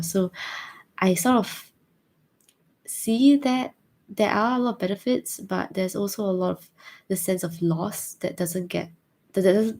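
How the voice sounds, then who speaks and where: A woman's voice: lowish voice, vocal fry, dark tone. A young woman with a Singaporean accent, thoughtfully answering viewer questions in a casual chat, hesitant with many fillers. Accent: Singaporean English.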